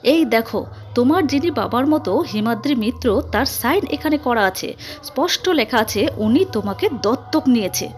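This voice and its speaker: A woman's voice: on the high side, lively intonation, moderate pace, faint music behind, natural voice. A woman voicing a furious, domineering middle-aged mother-in-law in a family melodrama, scolding and barking orders. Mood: outraged. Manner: shouting, scolding, commanding. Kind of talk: melodramatic family story read aloud.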